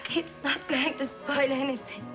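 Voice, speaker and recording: A woman's voice: high-pitched, fairly even intonation, moderate pace, natural voice. An actress playing a young woman pleading to marry her sweetheart. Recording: loud music behind.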